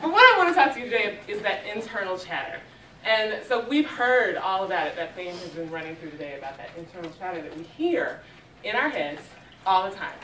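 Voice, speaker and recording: A woman, a loud, sassy and playful motivational speaker who recites a rhyming intro and gets the room moving. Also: medium pitch, big pitch swings, a little fast, slight background noise. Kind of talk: spoken-word intro and live crowd motivation. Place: conference hall, indoors. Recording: echoey hall, distant, over loud music and a crowd.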